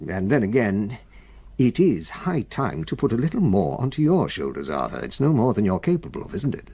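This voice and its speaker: An avuncular older English solicitor in a radio play, his pitch rising and falling freely and his voice a little husky. He is brisk yet evasive, pausing and chuckling in an old-fashioned way. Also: big pitch swings, dark tone, heavily acted. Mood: persuasive. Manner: avuncular, hesitant pauses, chuckling, old-fashioned turns of phrase. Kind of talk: radio drama acting.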